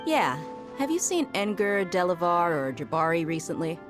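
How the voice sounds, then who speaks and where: A tough desert mercenary from a video game, with a low, steady voice. She speaks seriously and firmly, protective and resentful of injustice. Music plays clearly behind her. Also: moderate pace. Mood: friendly, relaxed. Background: game background music.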